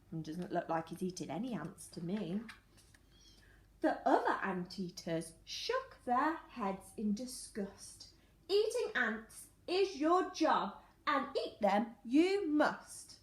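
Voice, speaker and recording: A woman teacher reading a picture book to young children, telling the story expressively and putting questions directly to her listeners. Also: medium pitch. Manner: questioning, addressing children directly, clearly paced. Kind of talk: animated children's storytelling and lesson. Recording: echoey room.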